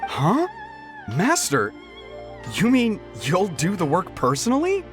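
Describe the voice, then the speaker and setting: Game role: flustered junior smith, anxious and eager, very animated intonation. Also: male voice, sits high, slow, music in the background, heavily acted. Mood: startled. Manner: pleading, excitable. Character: flustered, anxious young male apprentice smith. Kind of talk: anime-style video game voice acting.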